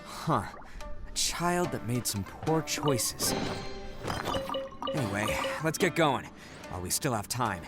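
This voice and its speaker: Young oni brawler, game, cocky, boisterous, very animated. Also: male voice, medium pitch, slow pace, long pauses, over loud music. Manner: cocky, loud, teasing. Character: brash, boastful young oni brawler, male.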